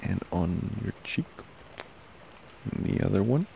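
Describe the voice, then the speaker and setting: A man doing an intimate boyfriend ASMR roleplay close to the mic, murmuring softly, drowsily and affectionately. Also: expressive, slow, slight background noise. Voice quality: low, gravelly, creaky. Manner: soft, drowsy, affectionate murmuring, often breathy half-whisper. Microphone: close ASMR microphone.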